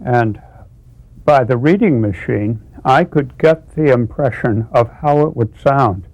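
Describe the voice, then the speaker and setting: An eighty-one-year-old man, an author, speaking reflectively in an interview, haltingly, phrase by phrase. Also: medium pitch, animated, a little mellow, no room sound, low-quality recording.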